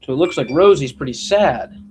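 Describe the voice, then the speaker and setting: A man's voice: fairly high pitch, moderate pace, slight background noise. A man, a school principal, reading a children's picture book aloud expressively and chatting to young listeners. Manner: expressive, engaging listeners with questions.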